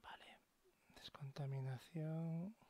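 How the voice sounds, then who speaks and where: A man with a Spain Spanish accent giving casual commentary as he plays a game, thinking out loud and sometimes dropping to a hush. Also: fairly high pitch, fairly even intonation, takes it slowly. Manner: chatty, thinks aloud, sometimes drops to a hush. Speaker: let's play host. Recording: loud game sound under voice. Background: horror game ambience.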